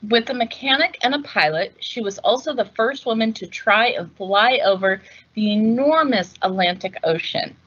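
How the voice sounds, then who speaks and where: A woman reading a picture book aloud as host, in a measured, deliberate storytelling delivery. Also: a little high, animated, a bit bright, dull audio. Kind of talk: scripted picture-book narration.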